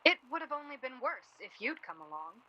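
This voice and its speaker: An actress in a 1930s-set radio play, playing a sharp, sarcastic woman who lays on guilt to her houseguests. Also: high voice, big pitch swings, fairly quick, dull audio, slight background noise. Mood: terse. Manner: sarcastic, needling. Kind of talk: radio play acting, 1930s period drama.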